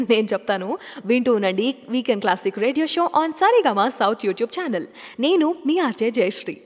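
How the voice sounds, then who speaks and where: A woman hosting a radio show with a bright, high voice. She tells stories in a lively, gossipy way, her pitch swinging up and down. A long reverb trails behind her words. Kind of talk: chatty radio presenting between songs.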